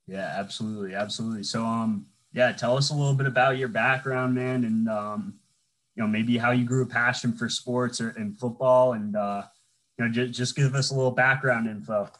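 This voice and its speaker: A man's voice: mid-range voice, steady tone, a little fast, a bit bright, poor audio. Young male host, loose and rambling, filler-heavy casual delivery. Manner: rambling, filler-heavy, says "man" often. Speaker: interview host. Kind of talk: casual interview.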